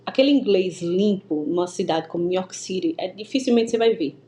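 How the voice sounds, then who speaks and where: A Brazilian woman from the Northeast telling her story as an interview guest over a video call, in a lively, stop-start way with many restarts. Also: fairly low pitch, a little fast. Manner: animated, many restarts and stammers. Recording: echoey room with faint ambience.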